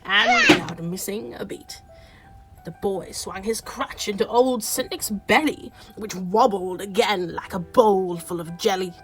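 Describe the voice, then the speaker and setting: A woman playing a storybook narrator, reciting rhyming verse in a measured, dramatic way for a radio play. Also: mid-range voice, lively intonation, fairly bright tone, soft background music, rough recording.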